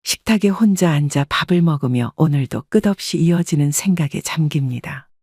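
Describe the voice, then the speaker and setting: A woman giving scripted first-person narration in an even, wistful storytelling manner. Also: deep voice, moderate pace, husky, no room sound, studio quality. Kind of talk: scripted audio drama narration.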